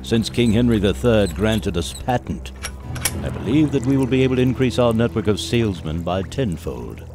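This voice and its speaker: Audio drama villain: actor as greedy period company boss, pompous and cold, lively lowish male voice over music. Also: normal speed, close to an everyday voice. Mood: smug, scheming. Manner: formal, pompous period diction. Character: scheming, greedy 1830s English elixir-company boss, cold and calculating. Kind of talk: family audio drama acting.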